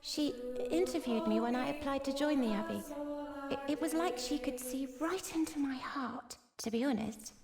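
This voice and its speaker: An actress voicing an eager, naive young novice nun in a video game, speaking in a hesitant, earnest, apologetic way. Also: female voice, high voice, moderate pace, over a noisy crowd. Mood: reverent. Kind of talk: voiced video game dialogue.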